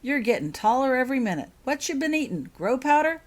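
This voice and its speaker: A woman's voice: sits high, sing-song, fairly quick, voice pushed up. A woman voicing a huge, cheerful bearded man in a storybook, with hearty, folksy speech. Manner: hearty, folksy dropped words. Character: huge, cheerful, bushy-bearded male giant of a friend.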